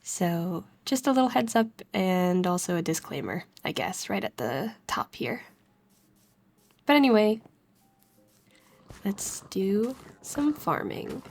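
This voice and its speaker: A woman with a stuffy, congested voice from a cold, chatting casually through her gameplay and laughing softly between thoughts. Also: mid-range voice, a little hiss or hum. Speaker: let's play host.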